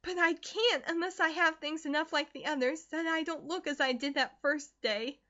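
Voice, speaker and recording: A woman voicing a high, pleading anxious schoolgirl. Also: moderate pace, sharp tone, lo-fi sound. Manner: pleading and distressed. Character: anxious earnest teenage schoolgirl.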